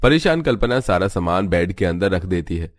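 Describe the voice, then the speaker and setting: Male narrator, fairly deep voice, scripted storytelling for an animated family tale. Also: normal speed.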